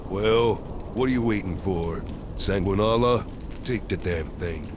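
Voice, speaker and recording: A man as a deep-voiced cocky soldier. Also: a little slow, noisy background. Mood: gruff, friendly. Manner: brash, swaggering, sardonic, swearing. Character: cocky, crude, battle-hardened guardsman sergeant from a death world. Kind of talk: audio drama character acting.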